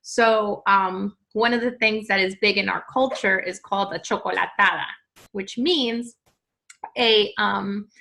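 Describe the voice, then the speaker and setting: A woman from Peru, a podcast guest in casual interview chat, talking haltingly with many ums and restarts. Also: mid-range voice, fairly even intonation, gravelly, sharp tone.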